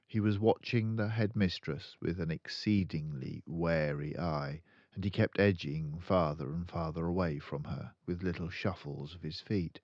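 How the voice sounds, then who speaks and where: An older man reading a children's story aloud, deliberate and dramatic in his narration. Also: deep voice, a little mellow. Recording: slightly dull, roomy home recording.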